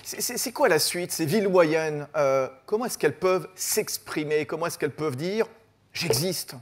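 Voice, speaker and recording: Slightly high-voiced probing male host. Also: animated, normal speed. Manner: probing, hesitant with many fillers. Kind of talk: TV debate moderation.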